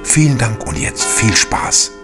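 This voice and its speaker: Casting: male promo announcer, lowish voice, lively delivery, music bed. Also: a little slow. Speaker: channel announcer. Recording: music behind the voice.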